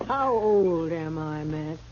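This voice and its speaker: Worldly western saloon madam, noisy recording. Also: female voice, sits low, lively intonation, slow pace. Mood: wistful. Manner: folksy, wry, bossy. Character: worldly, teasing older female saloon keeper. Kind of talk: 1950s western radio acting. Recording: noisy, muffled old radio broadcast.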